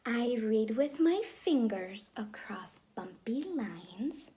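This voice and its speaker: A woman teacher reading a picture book aloud in an expressive way, speaking to young children. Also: a little high, takes it slowly. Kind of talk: children's story read-aloud. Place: room at home with reading chair.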